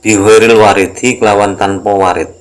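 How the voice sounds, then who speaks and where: A man with a Javanese accent teaching an Islamic text, reading it out and explaining it in a halting, repetitive way. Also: lowish voice, steady tone. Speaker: religious teacher.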